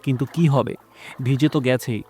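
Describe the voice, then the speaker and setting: A man narrating a scripted horror story in a dramatic, expressive storytelling manner. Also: mid-range voice, moderate pace. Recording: music behind the voice. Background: background music.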